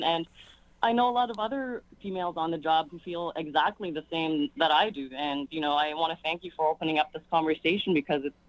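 A woman's voice: sits low, no room sound. Woman firefighter calling in, english not her first language, nervous, keeps restarting, muffled phone sound. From outside Canada. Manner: earnest, halting, many restarts. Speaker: caller, firefighter. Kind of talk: call-in radio talk.

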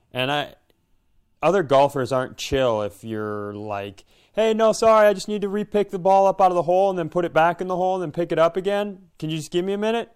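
Smooth-voiced man telling a funny personal story. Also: a little high. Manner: self-deprecating, acts out imagined dialogue. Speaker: podcast host and comedian. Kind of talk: casual comedic storytelling.

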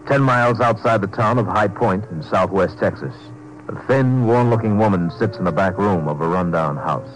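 A man's voice: lowish voice, moderate pace, natural voice, rough recording. A man with an American accent narrating a scripted old-time radio drama.

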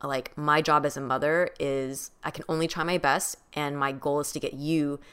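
A woman's voice: low-pitched, little pitch movement, moderate pace, fairly bright tone, smooth. A woman co-hosting a podcast, telling a family story in casual chat with quoted asides. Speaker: podcast co-host.

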